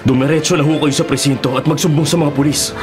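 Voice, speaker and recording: A man plays a concerned forest ranger in a Filipino radio drama. He talks quickly, with earnest, respectful urgency, and loud music runs behind him. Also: a little high, fairly even intonation, lightly acted.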